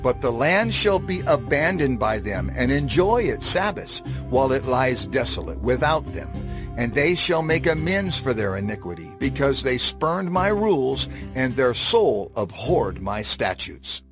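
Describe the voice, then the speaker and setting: An actor playing the God of Israel as a stern, solemn lawgiver in a dramatized scripture reading, declaiming with menace. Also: male voice, medium pitch, big pitch swings, measured pace, music in the background. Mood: solemn, grave. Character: God of Israel, stern wrathful lawgiver warning his people.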